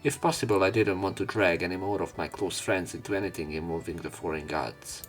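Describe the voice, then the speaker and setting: Low voiced guy doing a visual novel let's play, reading the story out, music playing behind him. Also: brisk. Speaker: let's player.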